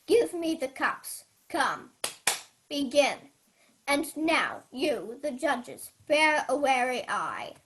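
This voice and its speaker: Young woman as a slow, high-voiced king. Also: sing-song, fairly bright tone, slight background noise, heavily acted. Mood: ceremonious, scheming. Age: young adult. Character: King Claudius, commanding, scheming older male ruler. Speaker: student actor. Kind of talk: Shakespearean radio play acting.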